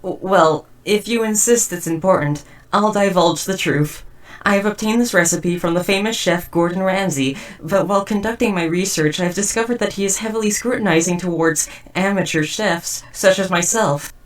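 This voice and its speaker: A woman's voice: medium pitch, normal speed, far from the mic, close to an everyday voice, poor audio. A woman playing a socially awkward, devoted but murderous robot house spouse in a roleplay audio drama, speaking in stiff, wordy, formal phrases. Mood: worried. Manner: stiff, verbose, overly formal wording. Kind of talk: scripted roleplay audio drama addressed to listener.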